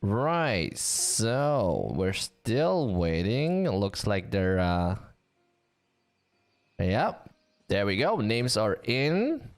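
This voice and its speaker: A man's voice: lowish voice, very animated, very slow. A man hosting a live game stream with a sore throat, chatting in an upbeat way with many fillers.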